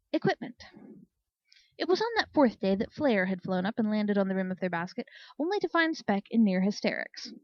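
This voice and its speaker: A woman's voice: a little high, dry recording, lo-fi sound. A woman reading a scripted story aloud as an audiobook narrator. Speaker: audiobook reader.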